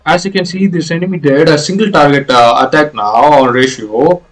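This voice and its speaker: A man giving explanatory video game playthrough commentary in a halting, hesitant way. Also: on the high side, fairly bright tone. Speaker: gaming video creator.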